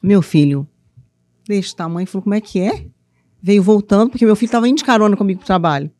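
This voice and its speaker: A woman's voice: mid-range voice, fast talker, no room sound. A middle-aged woman from Minas Gerais with a mineiro accent, telling her story as an interview guest and acting out what people said. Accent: Minas Gerais Portuguese. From Minas Gerais, Brazil. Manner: animated, acts out quotes, playful teasing. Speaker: guest, author and mentor for women.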